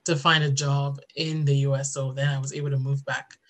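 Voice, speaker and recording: A woman's voice: rumbling low, flat delivery, fairly quick. A woman, telling her personal story earnestly as an interview guest. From Ghana. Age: 30s.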